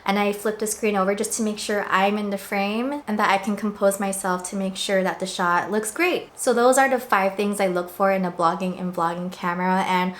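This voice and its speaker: A woman in her late twenties talking casually to camera indoors. She explains things in a chatty, upbeat way, and her voice is slightly husky from a swollen throat. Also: mid-range voice, fairly even intonation, fairly quick, hardly any pauses, a little hiss or hum. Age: late 20s. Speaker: vlogger and blogger. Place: indoors at home.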